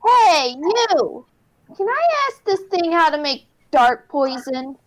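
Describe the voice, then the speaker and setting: Woman as a high-pitched, bratty little boy. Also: very animated, takes it slowly, full character voice. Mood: pestering. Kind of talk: hobby radio play acting.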